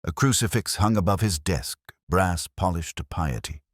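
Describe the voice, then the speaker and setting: A man giving a measured, brooding first-person narration of a detective story. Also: deep voice, dry recording, clean studio sound. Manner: measured, brooding, literary delivery.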